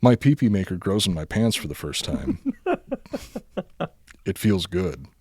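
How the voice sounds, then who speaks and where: Deep-voiced man reading a comic story. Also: animated, measured pace, darkish tone, no echo, studio quality. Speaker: podcast host reading a story.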